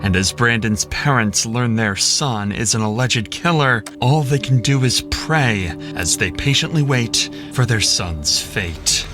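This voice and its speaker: A man giving measured, dramatic scripted narration for a true-crime documentary. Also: medium pitch, animated. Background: music.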